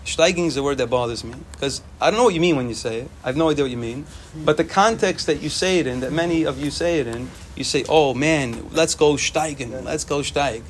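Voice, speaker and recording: A young man whose first language is Yiddish, giving an informal, animated Torah talk to students and repeating phrases for emphasis. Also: fairly high pitch, brisk, hardly any pauses, slight background noise. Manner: animated, repetitive, sprinkles Hebrew and Yiddish terms.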